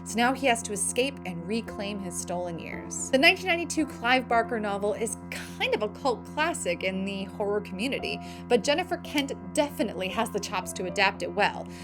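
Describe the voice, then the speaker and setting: A woman's voice: mid-range voice, animated, brisk, hardly any pauses, music in the background. A woman hosting a scripted film news show, with playful, emphatic delivery and slangy asides. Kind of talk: scripted entertainment news narration.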